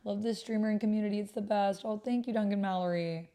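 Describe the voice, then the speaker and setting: A woman's voice: medium pitch, steady tone, fast talker, dark tone. A woman streamer hosting a casual livestream, informal and gushing, often half talking to herself as she types and reads messages aloud. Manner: murmurs to self while typing and reading messages aloud. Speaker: streamer and host. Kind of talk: casual livestream chat while playing a text roleplay game. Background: computer keyboard typing.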